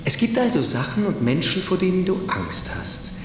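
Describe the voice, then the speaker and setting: A calm, knowing older schoolboy in a radio drama, persuasive and a little mysterious. His intonation moves widely, in an echoey room with faint noise. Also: sits high. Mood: intriguing, persuasive. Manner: deliberate, persuasive, quietly superior. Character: self-assured, precocious older schoolboy, calm, probing and mysterious. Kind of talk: radio play acting.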